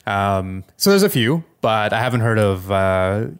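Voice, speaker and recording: A man's voice: lowish voice, lively intonation, unhurried, clean tone. A man co-hosting a podcast, chatting casually as he responds to his partner. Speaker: podcast co-host. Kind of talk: casual chat, reacting to a story.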